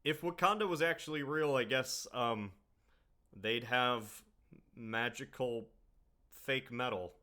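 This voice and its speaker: A man's voice: medium pitch, takes it slowly, pushed and bright. A man hosting a casual podcast chat, drawling and trailing off into mumbles. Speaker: podcast host.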